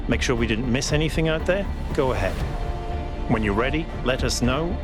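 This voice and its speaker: An amiable robot mayor from a video game, played by a man, speaks at medium pitch with lively intonation. He is practical and casually dry, with music behind him. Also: moderate pace, close to an everyday voice. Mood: encouraging.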